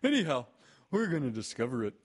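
Man voicing Pooh, a husky, naive toy bear. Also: medium pitch, sing-song, fast talker, voice pushed up. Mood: eager, muddled.

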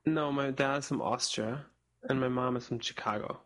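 A young man from Spain, raised in Portugal, whose first language is German, speaking as an interview guest in a reflective, halting way with frequent restarts. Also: mid-range voice, steady tone, fairly bright tone. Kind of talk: casual interview talk.

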